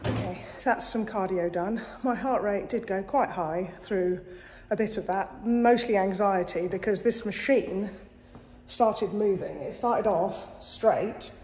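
A woman's voice: mid-range voice, measured pace, darkish tone, slight background noise. A woman chatting casually to camera in a wry, self-deprecating way as she trains. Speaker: vlogger, injured athlete training. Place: small hotel gym, indoors. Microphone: camera at arm's length. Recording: echoey, reverberant hard-walled room.

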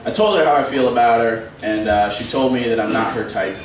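A man doing stand-up comedy on a pub stage, deadpan and halting with frequent "uh"s. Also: mid-range voice, noisy background. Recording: echoey pub, distant from the mic.

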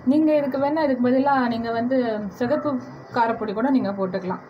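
A woman with a fairly high voice talks viewers through a recipe at her stove, taking it one step at a time and speaking to them directly. The recording has clear background noise and kitchen sounds. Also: dull audio. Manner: unhurried step-by-step instructions, addressing viewers directly. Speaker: cooking channel host. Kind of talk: home cooking demonstration. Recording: noisy home recording.

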